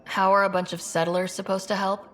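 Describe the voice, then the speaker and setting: A woman's voice: level tone, a little fast, a bit bright, lightly acted. A woman playing an assured, direct expedition commander in a video game. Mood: stern. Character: young woman pathfinder, steady, teasing expedition commander. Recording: faint engine rumble behind.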